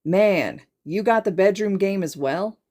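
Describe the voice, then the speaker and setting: Woman voicing a loud, boisterous teenage boy. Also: mid-range voice, a little slow, pitched above the performer's own voice, close to an everyday voice. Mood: jokey nervousness. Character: boisterous, jittery teenage schoolboy.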